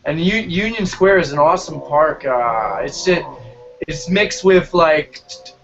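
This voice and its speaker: Guest: higher-pitched man from New Jersey, stop-start casual talk, muffled lo-fi audio. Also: lively intonation, soft background music. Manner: halting, repeats words. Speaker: guest street performer. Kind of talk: casual chat. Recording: dull lo-fi call audio.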